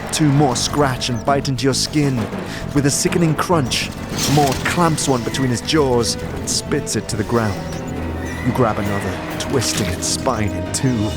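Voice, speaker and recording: A man narrating a scripted second-person adventure story in a measured, dramatic manner. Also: medium pitch. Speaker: narrator, game master. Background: music.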